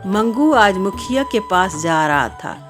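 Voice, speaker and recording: A woman narrates a scripted story for children. Her voice sits at a medium pitch and she goes at a slow, measured pace. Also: very animated, faint music behind.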